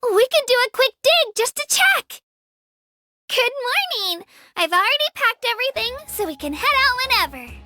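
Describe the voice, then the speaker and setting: A woman's voice: very high voice, big pitch swings, moderate pace, pushed and bright, exaggerated voice. A woman voicing a timid, earnest young girl miner in a video game, speaking sweetly and a little hesitantly. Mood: playful, friendly. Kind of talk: animated game character voice lines.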